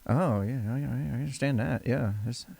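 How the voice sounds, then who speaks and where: A man with a deep voice hosting a casual podcast interview, often stammering, repeating words and restarting his sentences. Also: moderate pace, vocal fry, mellow, breathy edge. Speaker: podcast host.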